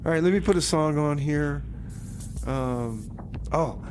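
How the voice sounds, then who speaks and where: A man hosting a music radio show, rambling casually in a folksy, halting way full of exclamations. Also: fairly high pitch, darkish tone, slight background noise. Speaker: radio host.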